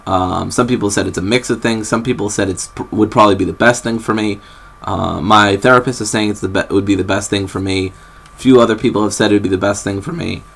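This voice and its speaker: Man, young adult, from New England, low pitch, gravelly fry, hesitant vlog talk. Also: fast talker, a bit bright. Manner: hesitant, many ums and restarts. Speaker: vlogger. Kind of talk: casual rambling update to camera.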